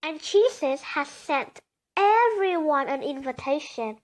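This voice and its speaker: A woman's voice: high-pitched, lively intonation, slow, rough recording. A female reader narrating a children's bedtime story aloud.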